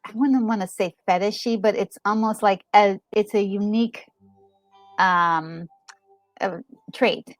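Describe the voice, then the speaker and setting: A woman's voice: medium pitch, a little slow, no echo, faint music behind. A woman chatting casually as a co-host, thinking aloud and rephrasing as she goes. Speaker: co-host commenting on a game. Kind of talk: casual chat.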